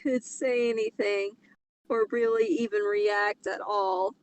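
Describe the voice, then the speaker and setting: A woman's voice: mid-range voice, steady tone, slow, slight background noise. A woman casually reading a story aloud and commenting on it as a co-host.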